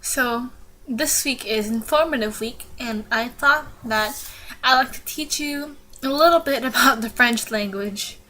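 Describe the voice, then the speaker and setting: A teenage girl vlogging casually, giggling awkwardly and filling pauses with lots of ums. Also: fairly high pitch, pushed and bright, rough recording. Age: teens. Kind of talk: casual informative vlog.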